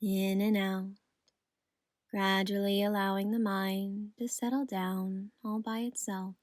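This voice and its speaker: A woman guiding a meditation in a soothing, gentle, unhurried manner. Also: medium pitch, level tone, no echo, studio quality. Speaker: meditation guide. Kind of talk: guided meditation narration.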